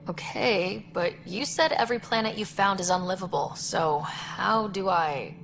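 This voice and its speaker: A woman's voice: medium pitch, measured pace, vocal fry, a bit bright, low-quality recording. An actress playing a bold, sardonic young woman explorer in a video game, speaking in a direct, dry, challenging way. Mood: skeptical. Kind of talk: video game cutscene acting. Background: music.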